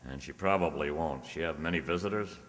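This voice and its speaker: An actor playing a wisecracking hard-boiled private detective in a radio play, with a dry, sardonic delivery. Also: male voice, very deep voice, a little fast, fairly bright tone. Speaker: amateur radio drama actor. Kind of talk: old-time detective radio play acting and narration. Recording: echoey hall, long reverb.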